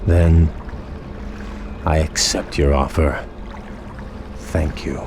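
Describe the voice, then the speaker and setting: An actor playing a proud, noble elven prince, formal and restrained with simmering resentment, in a fantasy video game. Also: male voice, rumbling low, flat delivery, drawn-out words, noisy background, exaggerated voice. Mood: composed. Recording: music behind. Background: game music.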